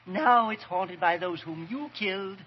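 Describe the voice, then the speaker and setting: An actor playing the smug ghost of a murderer with mannered, mocking politeness in old-time radio horror. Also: male voice, sits high, lively intonation, unhurried. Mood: ominous, triumphant. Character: smug, gloating male ghost of a wife-killer. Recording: dull, noisy vintage radio recording.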